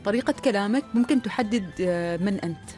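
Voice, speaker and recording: Fast-talking Omani woman radio co-host. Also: mid-range voice, darkish tone, soft background music. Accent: Omani Arabic. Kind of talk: casual radio chat.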